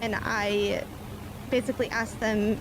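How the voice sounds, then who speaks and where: A woman's voice: medium pitch, fairly even intonation, a little slow, background noise. A woman playing a police officer on the witness stand, speaking in stiff, formal report phrasing. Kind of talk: formal courtroom testimony in a game roleplay.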